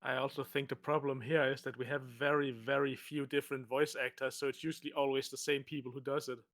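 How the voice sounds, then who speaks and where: A man's voice: medium pitch, little pitch movement, fast, a bit bright, no room sound. A man in a casual chat, speaking hesitantly with slightly non-native phrasing. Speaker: podcast co-host.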